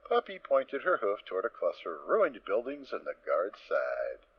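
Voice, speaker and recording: A man's voice: on the low side, wildly swooping pitch, normal speed, breathy edge, lo-fi sound. A man narrating a scripted story as a dramatic reading.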